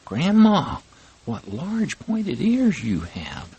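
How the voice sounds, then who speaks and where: Guy putting on a tiny girl voice, way up high, all innocent and wondering. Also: wildly swooping pitch, very slow, mellow, slight background noise, exaggerated voice. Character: small sweet young girl.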